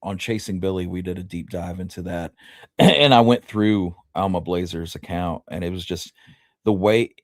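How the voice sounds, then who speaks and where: A man co-hosting a casual history discussion, thinking aloud in a halting way. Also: sits low, no room sound, studio quality. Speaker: history show co-host.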